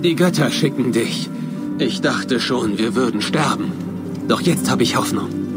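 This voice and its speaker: A grateful, devout villager from a video game played by a man. His voice is mid-pitched. Loud music plays behind him. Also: unhurried, close to an everyday voice. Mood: grateful, relieved.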